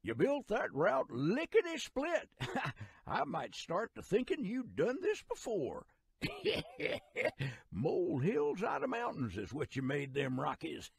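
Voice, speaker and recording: Game narrator: jovial Western old-timer, folksy drawl, crackly gravel in a high-set voice, theatrical storytelling. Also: male voice, huge pitch swings, slow, full character voice. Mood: jovial, congratulating. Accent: American Southern or Western drawl. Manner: colloquial, theatrical drawl with chuckles.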